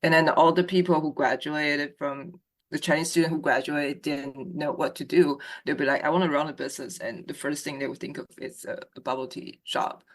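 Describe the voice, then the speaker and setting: A woman from Taiwan, a podcast guest, giving lively and opinionated views in a casual chat over a call. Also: low-pitched, fairly quick, low-quality recording.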